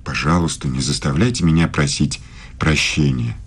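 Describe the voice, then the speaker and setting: A man playing an ardent, commanding professor in love, speaking deliberately in a romantic radio drama. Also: a bass voice, slightly rough. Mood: tender, commanding. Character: ardent, commanding male philosophy professor, a secret lover. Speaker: actor in a radio play. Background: music.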